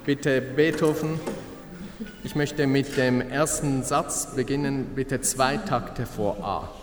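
An actor playing a formal orchestra conductor rallying players in a radio drama, mixing in English phrases. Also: male voice, medium pitch, fairly even intonation, slow pace, close to an everyday voice. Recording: echoey rehearsal hall, music.

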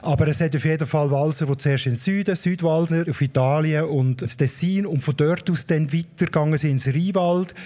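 A man's voice: fairly high pitch, fairly quick, few pauses, warm tone. A man with a Swiss German accent, a dialect expert explaining word origins in a radio conversation. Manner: explanatory, lecturing on word history.

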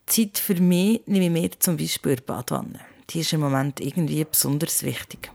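A woman's voice: deep voice, normal speed, creaky, a little mellow. A woman with a Swiss German accent talking candidly and off the cuff, as in a casual personal audio diary. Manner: candid, unscripted, musing. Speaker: podcast host and parent.

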